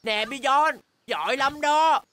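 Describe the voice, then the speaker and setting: A woman's voice: high-pitched, slow, a bit bright. A woman voicing an eager, stubborn young boy bear in a children's cartoon dub, exclamatory and determined.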